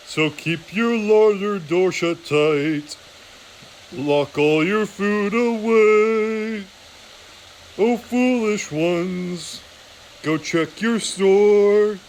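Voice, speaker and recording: A man voicing a cheeky, merry male woodland thief, chanting a slow sing-song taunt. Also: high-pitched, a little hiss or hum, rough recording.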